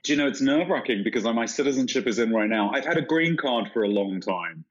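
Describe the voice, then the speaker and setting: A man's voice: medium pitch, lively intonation, quick pace. Man, London accent with American drift, forties, enthusiastic, chatty, slightly muffled audio. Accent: London English softened by American. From London, England. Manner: chipper, enthusiastic, with frequent restarts. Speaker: guest, travel writer. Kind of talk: conversational interview.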